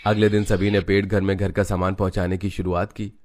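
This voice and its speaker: A man's voice: low-pitched, flat delivery, brisk, darkish tone, faint music behind. A man narrating a family story in a scripted storybook style.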